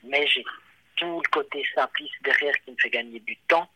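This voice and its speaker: Bright-voiced Swiss French man, halting. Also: medium pitch, dry recording, low-quality recording. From Switzerland. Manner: hesitant, many fillers and restarts. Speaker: watchmaker and guest. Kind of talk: casual interview.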